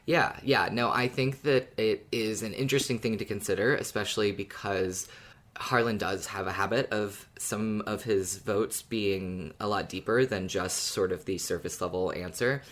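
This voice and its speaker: A podcast co-host in casual discussion, agreeing readily and explaining points in a measured way. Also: low-pitched, steady tone, a bit bright.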